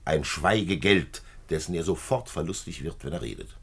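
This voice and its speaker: An actor playing a calm, shrewd police commissioner in a 1950s German-language radio play, measured, patient and matter-of-fact. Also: male voice, heavily acted. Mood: resigned, matter-of-fact. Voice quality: gravelly, husky low voice.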